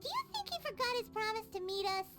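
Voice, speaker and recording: A woman voicing a tiny, excitable, cheeky fairy companion with a squeaky childlike voice in a video game. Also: fast, full character voice. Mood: curious. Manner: refers to self in third person, exclamatory. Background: background music.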